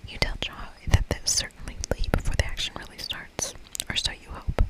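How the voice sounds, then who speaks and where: ASMR game narrator, entirely whispered delivery, breathy and unvoiced. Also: a little fast. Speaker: ASMR video game player and host.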